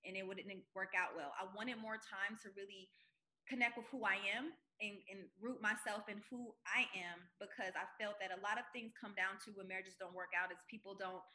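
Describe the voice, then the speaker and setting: A woman in her forties speaks as a podcast guest, with a very bright, forceful tone. She tells her stories emphatically, lifting her voice to drive points home, and her pitch sits in the middle for a woman. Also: brisk. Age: 40s. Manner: emphatic, animated storyteller. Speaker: guest entrepreneur. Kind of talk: podcast interview.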